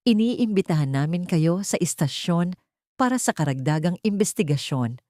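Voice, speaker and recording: Woman acting firm, formal policeman, animated pitch. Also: normal speed, dry recording, clean studio sound, close to an everyday voice. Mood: firm, official.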